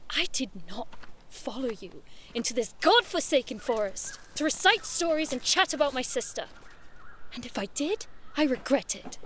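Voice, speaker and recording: A woman's voice: high voice, very animated, moderate pace, fairly bright tone, a little hiss or hum. A woman playing a haughty, playful young noblewoman in an audio drama, speaking in an eloquent, theatrical, teasing way. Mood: indignant.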